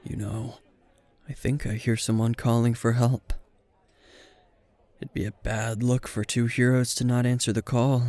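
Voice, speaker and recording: A man playing a gruff, weary, tipsy hero teacher who is dryly flirtatious, in an intimate, deadpan ASMR roleplay with a gravelly voice. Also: close to an everyday voice. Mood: flirtatious, soft. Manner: low-key, dry, deadpan, unhurried.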